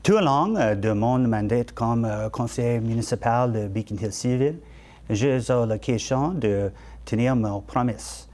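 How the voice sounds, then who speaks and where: Slow, halting man making a campaign pitch. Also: a little mellow. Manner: halting, repeats phrases for emphasis. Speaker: city councillor seeking re-election. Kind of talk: campaign speech.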